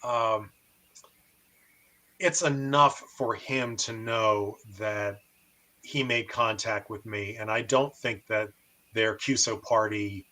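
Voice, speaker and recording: Bright-voiced American man, radio hobbyist. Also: on the low side, fairly even intonation, slow. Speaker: amateur radio operator and show host. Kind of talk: casual demonstration and radio chat.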